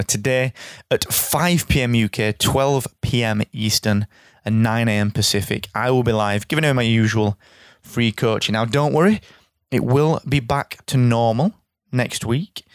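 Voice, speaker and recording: A man hosting his own podcast, talking in an energetic, chatty way with a hoarse, croaky voice. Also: medium pitch, moderate pace, no echo, studio quality. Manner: energetic, chatty, repeats words. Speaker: podcast host. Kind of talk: straight-talking solo podcast.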